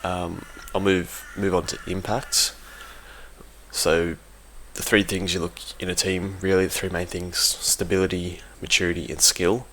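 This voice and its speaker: A man's voice: sits low, level tone, unhurried, pushed and bright, slight background noise, poor audio. A man giving casual, unscripted commentary on competitive gaming in a hesitant, informal way, often correcting himself. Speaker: competitive gaming team member giving an audio log.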